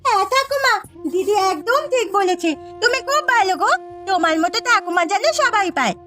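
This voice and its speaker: A woman's voice: very high voice, a little fast, sharp tone, soft background music. A woman voicing an eager, outspoken young village girl with a thin, childlike voice in a cartoon story. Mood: delighted, eager. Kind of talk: Bengali cartoon story voice acting.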